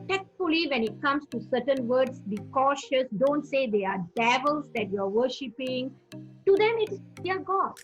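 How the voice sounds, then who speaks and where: A woman's voice: high-pitched, a bit bright, low-quality recording. A woman evangelist from Singapore giving earnest teaching and testimony, repeating phrases for emphasis.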